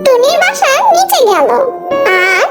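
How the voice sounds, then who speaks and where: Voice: very high voice, big pitch swings, a little slow. A narrator reading a scripted children's fairy tale for a cartoon. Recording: loud children's music behind the voice.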